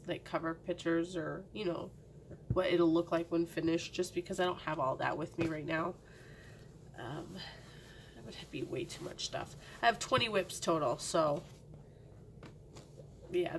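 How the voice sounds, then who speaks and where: Slow, gravelly woman with a cold. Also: mid-range voice, a little hiss or hum. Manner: rambling, many ums and restarts; drops to a near-whisper at times. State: sniffly with a cold. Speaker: cross-stitch vlogger. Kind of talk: casual chat to camera. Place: at home.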